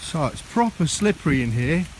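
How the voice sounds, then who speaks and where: A man's voice: fairly high pitch, very animated, normal speed. A man from Sheffield, chatting jokily as a vlogger while walking through rainy woodland. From Sheffield, England. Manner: jokey, chatty, laughs often. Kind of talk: casual outdoor vlog chat. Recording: rain noise in the background.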